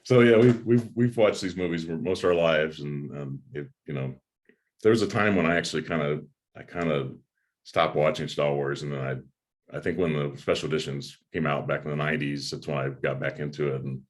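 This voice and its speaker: A man hosting a movie review chat in a casual, halting way, often repeating his words. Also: a bass voice. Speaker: host of a movie review channel. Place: home room with a desk, on a video call.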